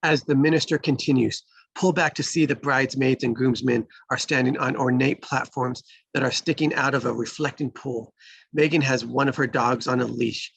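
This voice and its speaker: Male stage-directions reader for a screenplay table read, creaky and husky, close dry sound. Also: medium pitch, steady tone, normal speed, no room sound.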